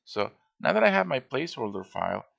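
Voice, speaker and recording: A man's voice: mid-range voice, very animated, quick pace, gravelly, fairly bright tone, lo-fi sound. A man giving a casual show-and-tell tutorial as a game modder, informal and thinking aloud as he works.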